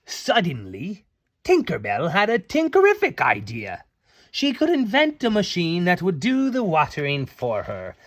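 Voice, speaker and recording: A man reading a storybook aloud to children as a parent, playful and theatrical, with little asides. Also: fairly high pitch. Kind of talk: animated storybook read-aloud.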